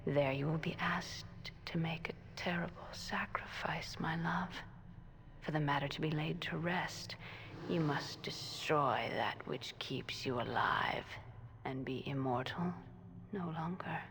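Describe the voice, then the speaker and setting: A low, very slow female ghost whispers solemn, foreboding words with tender sorrow. The breathy game voice sits in clearly noisy ambience. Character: sorrowful, loving female ghost, prophetic and ethereal. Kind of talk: video game voice acting.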